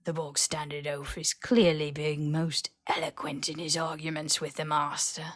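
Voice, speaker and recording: A woman voicing an old male house-elf servant in fantasy fan fiction, formal and loyal, speaking slowly and deliberately with a breathy, whispery voice. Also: deep voice, little pitch movement, fairly bright tone. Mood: dry disapproval. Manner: slow, deliberate, stilted third-person speech. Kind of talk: character voice in fan fiction audiobook.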